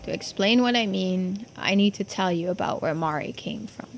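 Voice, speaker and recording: A woman's voice: mid-range voice, moderate pace, creaky, faint music behind, lightly acted. A woman voicing a folksy, earnest islander who explains old secrets in video game dialogue.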